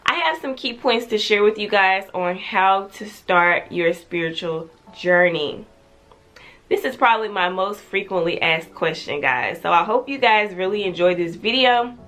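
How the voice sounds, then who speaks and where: A woman's voice: medium pitch, fairly bright tone. A young woman chatting to her viewers with a slightly stuffy, congested nose. She talks in an easygoing, repetitive way and stresses words by saying them again. Faint music plays behind her. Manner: chatty, repeats words for emphasis. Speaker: channel host. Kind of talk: casual vlog chat.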